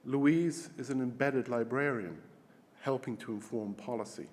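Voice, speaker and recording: A Scottish man giving a formal opening welcome as conference host, with halting restarts and repeated words. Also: medium pitch, darkish tone. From Scotland. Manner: hesitant, repeats words while searching. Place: large conference hall. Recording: long hall reverb.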